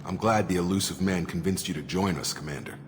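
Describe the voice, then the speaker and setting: An actor playing an earnest, plain-spoken soldier in a video game, loyal but disillusioned, straightforward and respectful. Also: male voice, sits low, fast, lightly acted. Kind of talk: video game dialogue acting. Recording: reverberant, noisy game audio.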